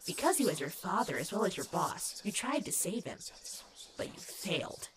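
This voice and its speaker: A woman's voice: on the low side, sing-song, normal speed, background noise. A woman voicing a sinister, mocking demon child in a dramatic comic dub, taunting cruelly and sometimes dropping into a whisper. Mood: coldly taunting.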